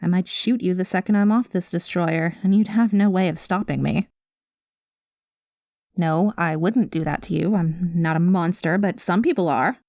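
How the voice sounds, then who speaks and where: A woman playing a cynical, guarded space smuggler with a soft spot, talking to the listener in a wry, world-weary way in an ASMR roleplay. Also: fairly low pitch, moderate pace, no echo, lightly acted. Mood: cautioning, cynical.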